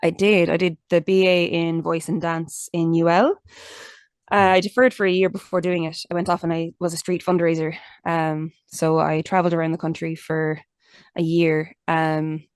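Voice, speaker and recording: Young Irish woman, lowish voice, candid and chatty interview guest, dry recording. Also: level tone, normal speed, clean tone, no room sound. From Ireland. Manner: chatty and candid, many restarts and "like" fillers. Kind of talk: casual conversational interview.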